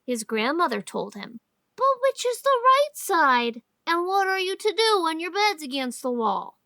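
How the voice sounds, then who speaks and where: A woman's voice: extremely high pitch, big pitch swings, measured pace, pushed and bright, dry recording, clean studio sound, exaggerated voice. A woman playing a cheeky, argumentative seven-year-old boy in an audio drama, with folksy childish grammar and an insistent manner. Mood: curious, insistent.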